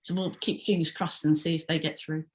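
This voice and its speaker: A woman's voice: low voice, level tone, a little fast. A woman answering audience questions in an online workshop, careful, with many ums and rephrasings. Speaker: invited speaker.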